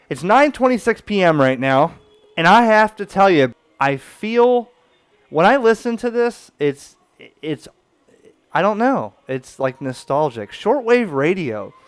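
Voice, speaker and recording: Clear, dry-voiced man reflecting wistfully at a home radio desk, relaxed. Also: a little high, no room sound. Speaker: ham radio channel host. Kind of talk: casual video commentary.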